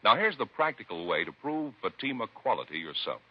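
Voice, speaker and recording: A man's voice: on the low side, big pitch swings, a little fast. A man reading a 1950s radio advertisement as an emphatic, salesmanlike announcer. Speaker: commercial announcer. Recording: dull old radio recording.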